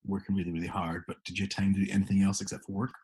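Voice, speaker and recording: A man's voice: sits low, little pitch movement, rapid-fire, darkish tone, slightly rough. A British man co-hosting a podcast, joking and laughing often in casual chat. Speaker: podcast co-host.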